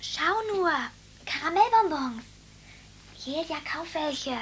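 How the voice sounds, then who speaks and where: A woman's voice: very high-pitched, expressive, takes it slowly, slight background noise, full character voice. An actress playing a confused, fearful and stubborn girl with a childlike, pleading manner in a radio drama. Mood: stubborn, begging. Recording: studio radio drama with music underneath.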